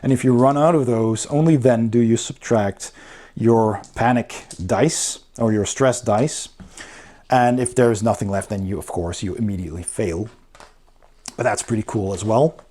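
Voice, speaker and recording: Mellow-voiced man casually explaining. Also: on the low side, normal speed. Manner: conversational, explains step by step. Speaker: board game reviewer and channel host. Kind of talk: casual explanatory review voice-over.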